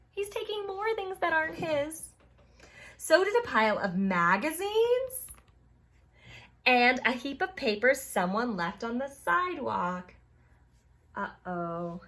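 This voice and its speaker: Female storytime reader, high voice, very animated delivery, speaks directly to kids and reacts as she reads. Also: slow pace. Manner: expressive, addresses children, exclaims and reacts to the story. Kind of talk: read-aloud picture book narration with asides to young listeners.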